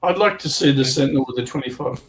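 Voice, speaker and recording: A man in casual, halting discussion as a livestream co-host, thinking aloud in an informal way. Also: medium pitch, a bit bright. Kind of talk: casual hobby and military history discussion. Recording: faint background noise, room ambience.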